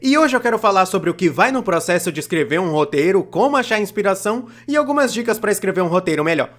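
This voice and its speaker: A Brazilian performer dubbing a young cartoonist who narrates an animated comedy video in a conversational, self-deprecating, complaining way. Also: mid-range voice, quick pace, close to an everyday voice. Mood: wry, explanatory. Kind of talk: scripted comedic storytime narration, fan dub.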